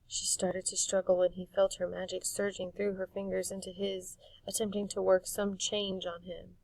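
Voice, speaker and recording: A woman narrates a story expressively, as an audiobook reader. Her voice has a marked creak, and the recording is close and dry, with hardly any room sound. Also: medium pitch, flat delivery, moderate pace, a little mellow, no room sound, distant mic, low-quality recording.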